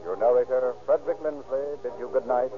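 A man's voice: mid-range voice, steady tone, a little fast, over music. An American man narrating and announcing in a formal, declamatory 1930s radio style. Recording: tinny, narrow 1930s radio recording.

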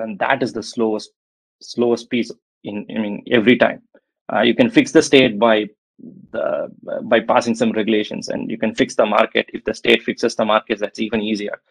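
A man's voice: mid-range voice, no echo, poor audio. A man speaking as an interview guest on venture capital, talking in a hesitant way with many fillers and restarts. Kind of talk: conversational interview answer.

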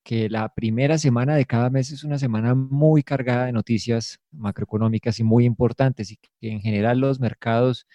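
A man giving measured, explanatory market commentary on a podcast. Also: medium pitch, fairly even intonation, dark tone, no echo.

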